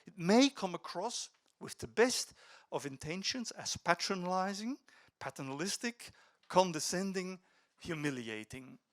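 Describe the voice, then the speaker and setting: A man's voice: sits high, expressive, a little slow. A middle-aged man giving a talk to an audience, telling an anecdote and animatedly quoting dialogue before reflecting on it. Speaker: speaker at a youth debate.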